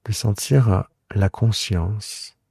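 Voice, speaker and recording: Very dark, low-voiced man guiding relaxation. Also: creaky, husky, no room sound, clean studio sound. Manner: hushed, soothing, unhurried with long pauses. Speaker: yoga nidra guide and host. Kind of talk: guided relaxation meditation, improvised.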